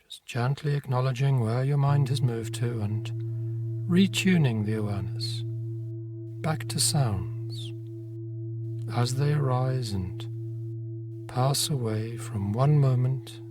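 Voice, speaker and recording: Guy doing guided meditation, gentle, takes his time w long pauses, average pitch, music behind him. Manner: gentle, unhurried, with long pauses between phrases. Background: meditation music with singing bowl tones.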